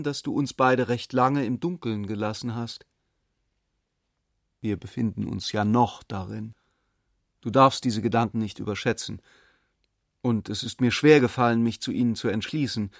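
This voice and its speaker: Guy playing a reflective thinker in a radio play, mid pitch, close dry mic. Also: gravelly, no echo, natural voice. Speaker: actor in radio drama. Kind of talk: literary radio drama reading. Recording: dry close studio sound.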